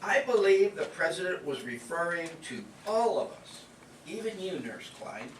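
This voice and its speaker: A man's voice: high voice, big pitch swings, normal speed, a little hiss or hum. A man playing a genial navy doctor in an amateur staged radio play set in 1941. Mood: genial, teasing. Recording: echoey stage, distant mic.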